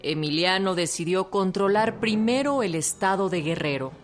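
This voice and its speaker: A woman's voice: fairly low pitch, animated, moderate pace. A woman reading scripted narration in a radio documentary drama. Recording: music behind the voice.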